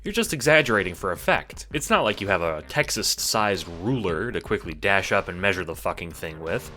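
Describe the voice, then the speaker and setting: A man's voice: deep voice, sing-song, fairly quick, pushed and bright, faint music behind. A man narrating an audio drama in the second person with a wry, theatrical, sardonic manner.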